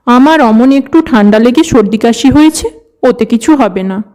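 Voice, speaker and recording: Mellow, caring village mother played by a woman. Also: fairly high pitch, moderate pace, close to an everyday voice. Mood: gently insistent. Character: caring, self-sacrificing village mother and farmer's wife. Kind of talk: cartoon story dialogue.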